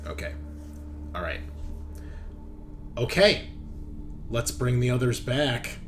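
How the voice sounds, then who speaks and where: A man running a tabletop game, narrating casually and informally, often halting as he thinks aloud. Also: medium pitch, sing-song, a little hiss or hum. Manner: halting, thinking aloud as map details are checked. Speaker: game master.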